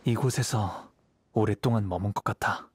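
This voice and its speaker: An actor playing a calm, perceptive young samurai in an anime-style video game, speaking in a composed, serious, measured manner. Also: male voice, on the low side, a little hiss or hum, natural voice. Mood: calm, cautious.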